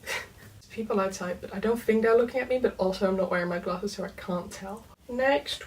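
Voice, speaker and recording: A young woman whose first language is Dutch talks through her bookshelf in a loose, chatty way, dotting her sentences with "um" and "like". Her voice sits at a medium pitch and picks up a little distance, as if the mic is set back from her. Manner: chatty, lots of "um" and "like", soft laughs. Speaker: book vlogger. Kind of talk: casual chat.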